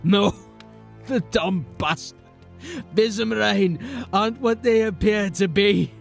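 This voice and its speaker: A man's voice: sits high, slow, creaky, faint music behind, full character voice. A man acting a dying, bitter anarchist in a fantasy audio drama, weak and labouring for breath as he urges and pleads. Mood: desperate warning.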